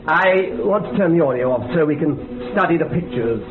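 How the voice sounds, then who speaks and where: An actor playing a brisk, authoritative researcher who questions and directs in a radio drama, on a tinny recording. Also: male voice, on the high side, lively intonation, natural voice. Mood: intent. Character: male researcher, brisk, probing investigator reviewing tapes. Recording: tinny band-limited noisy radio recording.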